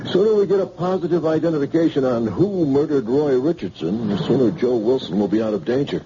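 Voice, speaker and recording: An actor playing a police sergeant who questions a witness slowly and patiently, with hesitant fillers, in an old radio drama style. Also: male voice, mid-range voice, animated, noisy background, lightly acted. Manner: slow, coaxing, hesitant with fillers. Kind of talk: 1940s radio acting. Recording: dull old radio broadcast.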